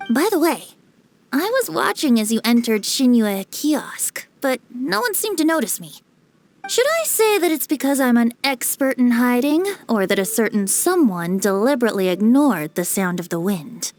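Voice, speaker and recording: A woman's voice: high voice, fairly bright tone. A woman playing a playful, whimsical young male bard in a video game dub, with a breezy, theatrical, teasing delivery.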